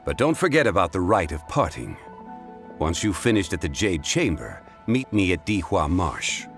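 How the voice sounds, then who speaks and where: A man voicing a composed, courteous, dignified mentor in a video game, speaking in a measured, formal way. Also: deep voice, sing-song, a bit bright, soft background music, heavily acted. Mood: calm courteous. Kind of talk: video game cutscene voice acting.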